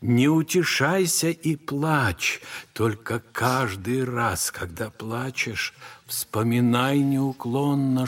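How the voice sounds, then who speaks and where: An actor playing an old monastery elder in an audio drama, solemn and consoling like a preacher, with a husky voice. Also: male voice, mid-range voice.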